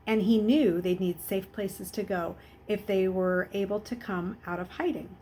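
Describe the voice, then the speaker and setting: A grave man of authority from a novel, read out by a woman. He is grim and measured in his delivery. The recording has noise in the background. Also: mid-range voice, steady tone, warm tone, natural voice. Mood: solemn. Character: grim, commanding adult man, serious ally. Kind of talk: children's novel read aloud.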